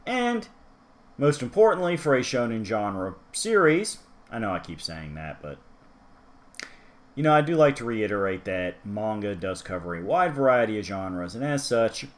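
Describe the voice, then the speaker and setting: A man's voice: on the low side, very animated, normal speed. A man hosting a casual manga review, talking chattily with asides to the viewer. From United States. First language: English. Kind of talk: casual solo review talk.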